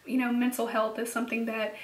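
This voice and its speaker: A young woman talking to camera in a personal vlog, earnest and reassuring, with ums and soft laughs, her voice hoarse and a bit cracked. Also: fairly high pitch, little pitch movement, fast talker, roomy sound, distant mic. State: losing her voice, slightly cracking. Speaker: beauty vlogger.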